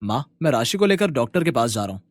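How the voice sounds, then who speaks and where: A man's voice: medium pitch, sing-song, quick pace, dry recording, close to an everyday voice. A man voicing an easygoing, scheming young husband in a moral story, in a coaxing manner. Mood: anxious. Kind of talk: moral story character dialogue.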